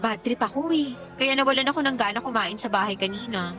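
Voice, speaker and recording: A jealous young live-in mother with a fairly high voice. She is whiny, blunt and slangy, venting radio-drama style with faint music underneath. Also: fast, sharp tone. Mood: sulky. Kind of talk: Tagalog radio drama dialogue. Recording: music behind the voice.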